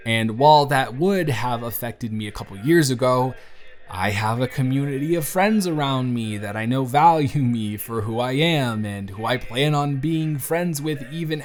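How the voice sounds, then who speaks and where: A man playing a bruised, self-deprecating teenage boy confiding in his best friend in an intimate audio roleplay, talking in a hesitant, gently wry, conversational way. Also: mid-range voice, natural voice. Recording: music behind the voice. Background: soft background music.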